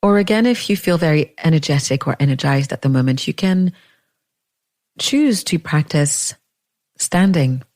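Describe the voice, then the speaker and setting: A woman leading a guided meditation in a gentle, unhurried way, leaving long pauses between phrases. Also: sits low, animated.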